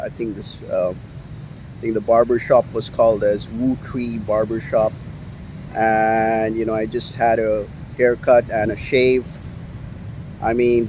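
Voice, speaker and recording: A man's voice: mid-range voice, a little mellow, a little hiss or hum, low-quality recording. A man from India vlogging outdoors on a city street, rambling with many fillers as he complains about his day. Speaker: YouTuber. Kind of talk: casual vlog monologue. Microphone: handheld camera.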